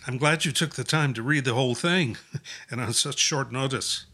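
Bright-voiced, diplomatic priest. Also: male voice, mid-range voice, husky. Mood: reassuring. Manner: gentle reproofs, euphemistic, measured wording. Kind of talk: naturalistic audio drama acting.

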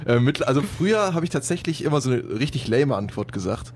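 A man's voice: mid-range voice, big pitch swings, quick pace. A young man from Germany hosting a casual podcast chat, joking and laughing often, with lots of fillers. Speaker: podcast host.

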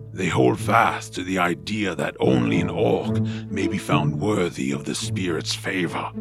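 A man voicing a solemn, honorable orc warrior, formal and grave, in a family audio drama. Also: exaggerated voice. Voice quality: deep, gravelly. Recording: loud music under the voice. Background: loud background music.

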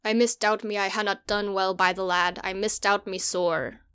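A woman's voice: mid-range voice, level tone, fairly bright tone, clean tone, close to an everyday voice. A woman voicing a worried old farmer. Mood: brooding. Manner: slow, earnest, broad dialect.

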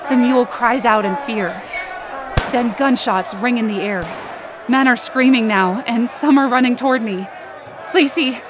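A woman's voice: fairly high pitch, lightly acted. A woman playing an anxious, desperate woman who narrates her own ordeal urgently in an audio drama. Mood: alarmed, urgent. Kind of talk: first-person present-tense audio drama narration. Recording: noisy outdoor crowd sound effects behind.

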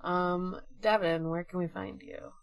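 Low-voiced woman chatting on a podcast. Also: steady tone, unhurried, creaky, dry recording. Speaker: podcast co-host. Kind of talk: casual chat.

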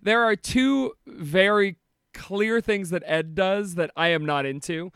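High-voiced man, joking podcast host. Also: takes it slowly, fairly bright tone, smooth, dry recording, studio quality. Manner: swears often, jokey, laughs a lot. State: losing his voice. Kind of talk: casual podcast chat.

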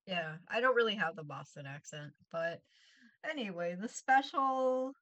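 Woman, New York accent, bright tone, dry recording, casual co-host chat. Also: medium pitch, animated, moderate pace, no echo, muffled recording. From New York, United States. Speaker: show co-host.

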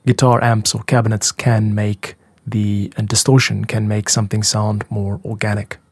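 A man's voice: on the low side, little pitch movement, normal speed, slightly rough. A man hosting a podcast as a music producer, talking in an explanatory narration style.

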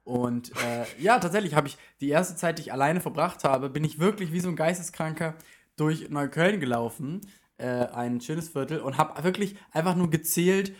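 A young man's voice, hoarse and strained from a heavy cold, pitched a little higher than most men's. He chats casually as a podcast co-host. He often pokes fun at himself. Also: moderate pace. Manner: self-mocking, laughs often. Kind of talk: casual chat. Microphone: scratchy microphone.

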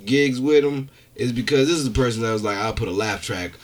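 A man telling stories in casual podcast chat, animated, slangy and freely swearing. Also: mid-range voice, a little fast. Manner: animated storytelling, profane, slangy. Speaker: stand-up comedian, podcast guest.

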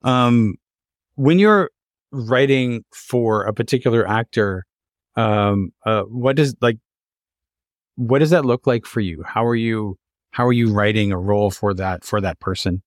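A man hosting a casual interview, feeling his way haltingly into each question. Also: fairly low pitch, no echo, studio quality. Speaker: interviewer, host.

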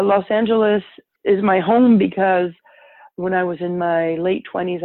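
A woman's voice: fairly low pitch, dry recording. Muffled-line woman guest, native French speaker. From born and raised in Paris, France, to American parents. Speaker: interview guest, health advocate. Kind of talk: conversational personal storytelling. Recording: muffled, band-limited remote line.

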